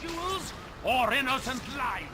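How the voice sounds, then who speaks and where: An actor playing a spiteful, elderly winged supervillain in a video game, with theatrical, drawn-out, scornful menace. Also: male voice, extremely high pitch, big pitch swings, very noisy, full character voice. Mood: taunting. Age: older. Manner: theatrical, drawn-out, sneering delivery. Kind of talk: video game villain acting. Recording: voice under loud game music.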